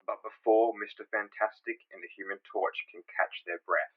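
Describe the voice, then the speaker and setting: A man's voice: lowish voice, steady tone, fairly quick, slight background noise. A man narrating a comic book in a scripted, portentous, theatrical style. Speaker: narrator and voice actor. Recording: dull, lo-fi sound.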